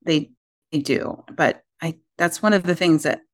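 Lowish-voiced woman chatting as a guest. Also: a little slow, no room sound, clean studio sound. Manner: chatty, musing, trails off and restarts. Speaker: podcast guest. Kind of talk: casual chat.

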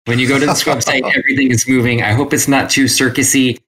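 A man talking casually as an interview guest, telling stories in an animated way with quoted asides. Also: mid-range voice, fast talker. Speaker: interview guest, self-published creator.

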